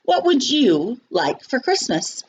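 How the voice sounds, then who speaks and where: A woman voicing a commanding, generous old man in a storybook, speaking in a deliberate, proclaiming way. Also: high voice, very animated, lo-fi sound, a little hiss or hum, pitched above the performer's own voice, exaggerated voice. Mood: kindly commanding.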